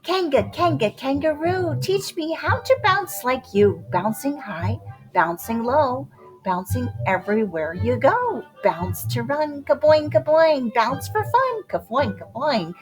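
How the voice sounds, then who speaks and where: A woman reading a rhyming children's picture book aloud in a playful, expressive way, drawing out words for dramatic emphasis. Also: high-pitched, unhurried. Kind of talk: animated rhyming storybook read-aloud for young children. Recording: music behind the voice. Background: music.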